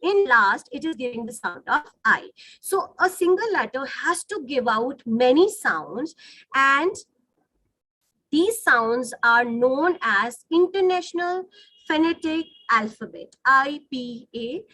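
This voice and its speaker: A woman's voice: high-pitched, lively intonation, slow, sharp tone, no room sound, low-quality recording. A woman with an Indian accent, a native Hindi speaker, teaching an online lesson in a didactic way, rephrasing points and checking in with her listeners. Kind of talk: online lesson, explaining to students. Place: online video call.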